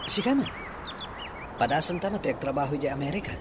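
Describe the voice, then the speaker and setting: A man's voice: fairly high pitch, animated, quick pace, close to an everyday voice. Male actor as heartbroken young man, Ilocano accent, radio serial delivery, noisy track. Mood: resigned. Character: heartbroken young man pining after a woman. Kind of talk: Ilocano radio drama acting.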